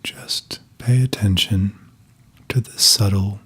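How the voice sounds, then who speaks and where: Slow, husky-voiced man guiding meditation. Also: lowish voice. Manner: soft, calm, unhurried instruction with long pauses. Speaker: meditation guide. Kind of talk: guided meditation.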